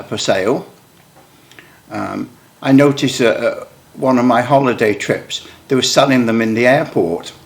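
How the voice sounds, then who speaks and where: A British man, a radio hobbyist, explaining his equipment in a casual, rambling show-and-tell with wry, self-deprecating asides. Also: mid-range voice. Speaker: radio hobbyist video maker.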